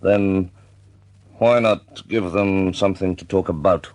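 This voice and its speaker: A man playing a measured, shrewd senior air force commander in a wartime radio drama. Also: low-pitched, steady tone, a little mellow. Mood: shrewd, wry.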